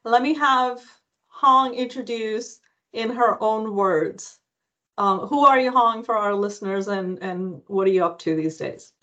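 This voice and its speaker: A woman's voice: mid-range voice, measured pace, bright, forceful tone, highs cut off. A woman hosting an interview podcast, chatty and often restarting her sentences. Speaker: podcast host. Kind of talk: conversational interview.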